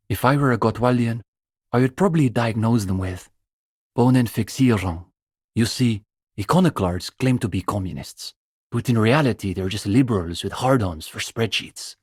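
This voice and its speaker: A man's voice: fairly low pitch, animated, moderate pace, a little mellow, husky, dry recording, clean studio sound. An actor playing an earnest young male student communist in a video game, intellectual, opinionated and dryly pedantic. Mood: pedantic, aggrieved. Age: young adult. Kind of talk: video game dialogue voice acting.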